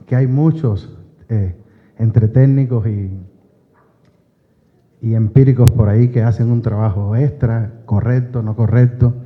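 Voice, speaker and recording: A man from Cuba, president of a professional college, giving a conversational conference talk with frequent hesitations and wry asides. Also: mid-range voice. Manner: conversational, many filler "eh"s and restarts, wry asides. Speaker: president of a state optometry college, forum speaker. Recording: phone-like band, long hall reverb.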